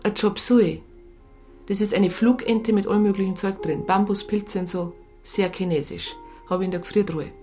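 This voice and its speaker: An actress playing a disillusioned police psychologist in a radio drama, blunt and sardonic. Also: female voice, mid-range voice, steady tone, normal speed, dark tone, soft background music, natural voice. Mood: resigned, sardonic. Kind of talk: naturalistic radio drama dialogue.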